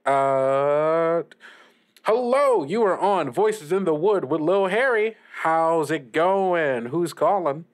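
A man playing a friendly young radio DJ on a casual improvised call-in show, rambling and halting with chuckles. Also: a little high, lively intonation. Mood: cheerful, amused. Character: friendly young small-station radio DJ named Lil Harry. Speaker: radio host.

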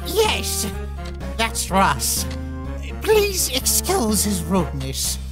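A man's voice: high voice, wildly swooping pitch, very slow, music in the background, full character voice. A man voicing an earnest, polite, easily flustered skeleton who exclaims, in a comic dub. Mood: apologetic.

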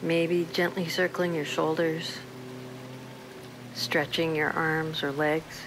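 A woman leading a guided meditation in a slow, soothing, gentle manner with unhurried pauses. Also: deep voice, level tone, over music, poor audio.

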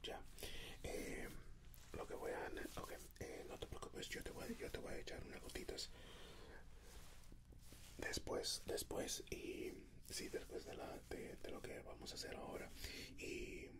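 A man in his thirties whispers softly and breathily in an ASMR medical exam roleplay, gently giving reassuring, step-by-step instructions as a doctor. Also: extremely high pitch, unhurried, long pauses. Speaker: ASMR performer playing a doctor. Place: indoors, dark backdrop. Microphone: close microphone.

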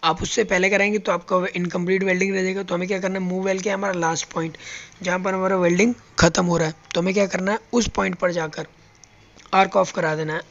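Guy walking you through robot welding settings, high gravelly voice, muffled phone audio. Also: level tone, quick pace, fairly bright tone. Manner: step-by-step explaining while pressing buttons. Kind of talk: hands-on tutorial. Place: factory floor beside a welding robot.

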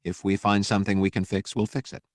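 A military doctor, as a male audiobook narrator plays him, talks briskly and plainly. He is clinical and reassuring, in a low male voice with a dry, close sound. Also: flat delivery, dry recording, close to an everyday voice.

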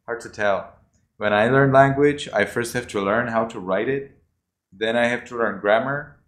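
A man's voice: medium pitch, normal speed, fairly bright tone. A man teaching children over a video call, talking. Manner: rambling, repeats himself, uses "you know". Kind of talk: casual online class talk. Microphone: laptop mic on video call. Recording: echoey video call audio.

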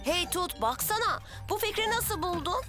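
A voice actor playing an eager, confident young boy motorbike, a helpful big brother in a children's cartoon dub, excitable and boastful. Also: very high-pitched, moderate pace. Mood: excited. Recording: loud music behind.

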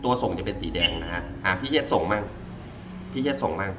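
Low-voiced man over clear background noise. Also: expressive, fast. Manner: informal, demonstrating step by step, repeats himself. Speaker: product reviewer. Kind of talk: casual hands-on gadget review. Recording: clearly noisy ambient background.

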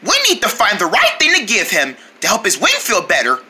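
A woman's voice: medium pitch, huge pitch swings, brisk, sharp tone, exaggerated voice. A woman voicing a caring, helpful young creature in a preschool children's show, reassuring with simple childlike phrasing.

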